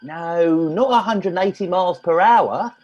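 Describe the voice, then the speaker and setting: A man's voice: high voice, measured pace, a little mellow. A man playing a naive, literal-minded young pilot in a comic radio play. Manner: boyish, literal, defensive. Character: naive, whiny young lad piloting a spaceship. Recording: dull lo-fi recording.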